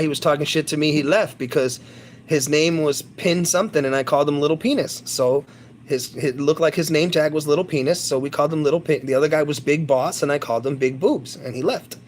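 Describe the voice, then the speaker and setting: A man's voice: on the high side, a little fast, a little hiss or hum. A man chatting casually to his viewers on a livestream, rambling, boastful and crude. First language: English.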